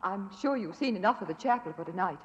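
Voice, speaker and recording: A woman's voice: medium pitch, lively intonation, fast, very noisy, natural voice. Woman as an earnest young manor lady, echoing. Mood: poised. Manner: earnest, polite, dramatic. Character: anxious but well-bred young lady of the manor. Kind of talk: 1940s radio acting. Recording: dull, reverberant old radio recording.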